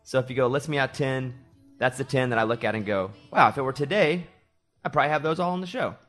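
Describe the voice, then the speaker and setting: A man of ordinary pitch hosting a casual podcast, talking in a loose, rambling way. He is from Arkansas and strings stories together with easygoing asides, in the relaxed manner of a morning show regular. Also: quick pace, faint music behind. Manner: rambling, chatty storytelling with self-deprecating asides. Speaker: radio morning show host.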